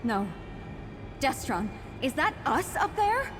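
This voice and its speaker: A woman's voice: high-pitched, sing-song, slow pace, music in the background, full character voice. A woman playing a troubled young woman with dangerous magic in a fantasy game, speaking in a grave, uneasy manner. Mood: alarmed disbelief. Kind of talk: dramatic fantasy game acting.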